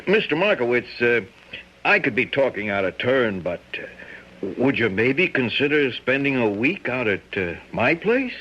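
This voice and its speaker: A man's voice: mid-range voice, sing-song, normal speed, pushed and bright. An actor playing a resourceful, persuasive schemer in a radio drama, insinuating his way along. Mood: bashful, ingratiating. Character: resourceful, smooth-scheming man feigning bashful helpfulness. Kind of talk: old-time radio drama acting. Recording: muffled radio recording.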